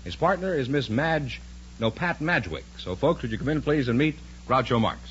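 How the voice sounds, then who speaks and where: A man's voice: mid-range voice, expressive, moderate pace, fairly bright tone. A man announcing on a vintage 1950s radio quiz show. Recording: muffled, noisy vintage radio broadcast.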